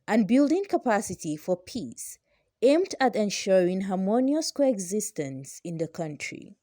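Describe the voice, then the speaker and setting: A woman's voice: mid-range voice, dark tone, no echo, studio quality. A woman with a Nigerian accent reading a scripted news report as a reporter.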